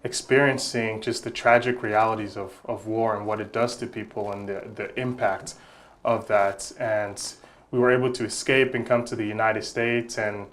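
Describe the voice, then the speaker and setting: A man's voice: fairly low pitch, steady tone, moderate pace, a bit bright. A young adult man from Congo, answering interview questions in an earnest, reflective way and often repeating words while he searches for the next thought. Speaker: guest, nonprofit founder.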